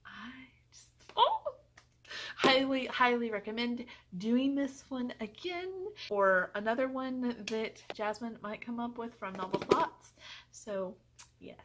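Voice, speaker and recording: A woman's voice: a little high, takes it slowly, a bit bright, a little hiss or hum. Earnest woman chatting about books. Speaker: book reviewer and vlogger. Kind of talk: casual book review chat to viewers.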